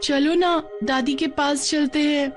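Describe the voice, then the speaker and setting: A woman's voice: sits high, fairly even intonation, slow pace, pitched above the performer's own voice. A woman voicing a sweet, trusting little sister in a cartoon story, polite and childlike. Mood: amazed. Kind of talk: Hindi cartoon story dubbing. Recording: music behind the voice.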